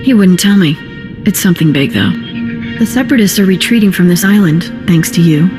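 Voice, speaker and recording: Brief: actress as cornered game schemer, measured low-ish female voice, reverberant, music behind. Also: close to an everyday voice. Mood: bargaining. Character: cornered, smooth-talking female schemer bargaining coolly. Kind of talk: video game voice acting.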